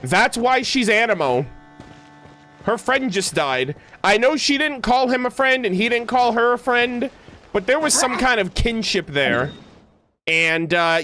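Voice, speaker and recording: A man streaming a video game, giving casual, playful and expressive commentary in gamer slang. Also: very high voice, slight background noise. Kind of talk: casual gaming livestream commentary, reading dialogue aloud.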